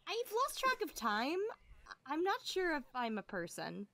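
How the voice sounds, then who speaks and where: A woman's voice: high voice, huge pitch swings, moderate pace, no echo, full character voice. A woman voicing a meek, bewildered cartoon girl, timid and hesitant, in a comedic fan dub. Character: meek, dazed female cartoon captive, bewildered. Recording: loud background noise.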